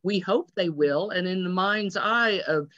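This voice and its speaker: A woman's voice: on the low side, measured pace, a bit bright. A woman chatting as a director on a panel, explaining in a casual, stop-and-start way over a video call. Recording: dull, lo-fi video-call audio.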